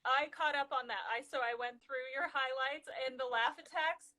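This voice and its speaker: A woman hosting a casual live interview, reading viewer questions with upbeat, quick affirmations. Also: sits high, sharp tone. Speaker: host.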